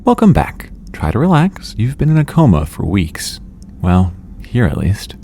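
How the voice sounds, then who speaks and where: A man playing a calm, reassuring scientist hero in an audio drama, talking in a measured way. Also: fairly low pitch, faint music behind, exaggerated voice. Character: calm, measured male superhero scientist tending a patient.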